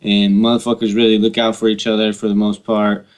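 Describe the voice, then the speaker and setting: A man with a fairly low voice hosts a casual podcast conversation. His talk is informal and slangy, full of small conversational fillers. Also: completely flat delivery, a little fast. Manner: informal, slangy, repeats "you know" and "like".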